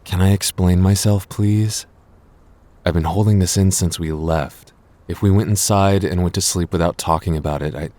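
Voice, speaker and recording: A man's voice: sits low, darkish tone. A man playing a jealous, apologetic boyfriend in an audio roleplay, speaking earnestly with hesitant stammers. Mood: apologetic, pleading, then earnest, subdued. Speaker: voice actor.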